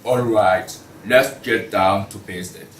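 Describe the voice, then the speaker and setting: A man's voice: on the low side, measured pace, a bit bright. A man playing a businesslike pig who presides over a jury in a student play reading. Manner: orderly, presiding. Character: businesslike pig jury foreman running the vote. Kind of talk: student table read of a comic play. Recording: echoey room, distant mic, faint noise.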